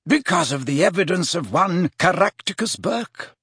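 A grave yet kindly elderly male wizard, performed by a man reading a storybook. He speaks in measured, formal sentences with a lifted, highly varied pitch and a slightly breathy roughness. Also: very animated, fairly bright tone, dry recording, studio quality, exaggerated voice. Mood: assured, explanatory. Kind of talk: audiobook character voice.

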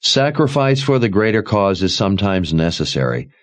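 A man's voice: on the low side, big pitch swings, normal speed. A man voicing a devious young male soldier in a fantasy audiobook, soothing and evasive. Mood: defensive.

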